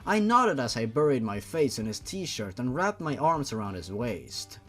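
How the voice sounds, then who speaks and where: A man's voice: medium pitch, big pitch swings, normal speed, a bit bright, faint music behind. A man reading fan fiction aloud in a theatrical, mocking way, as host and commentator. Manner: theatrical, sweary, incredulous asides. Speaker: host, reader.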